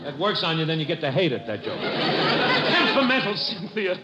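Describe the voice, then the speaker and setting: A man's voice: high-pitched, huge pitch swings, moderate pace, over loud music, a loud crowd. A male comedian on an old radio show, firing off gags and crooning in mock romance. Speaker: comedian host. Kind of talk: 1940s radio comedy monologue. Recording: dull old radio broadcast with orchestra.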